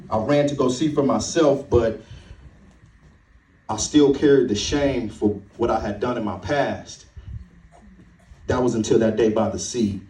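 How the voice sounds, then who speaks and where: A man's voice: mid-range voice, mellow, lightly acted. A man playing a remorseful, redeemed disciple in an earnest dramatic Easter monologue with a preacher-like cadence. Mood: reflective. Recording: roomy, distant mic, faint noise.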